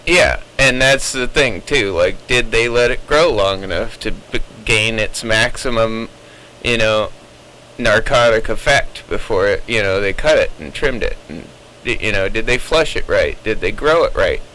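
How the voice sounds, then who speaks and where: A man with a bright, forward voice chats casually over CB radio. He explains things in a rambling, opinionated way. Faint noise sits behind him. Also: on the low side, a little slow, low-quality recording.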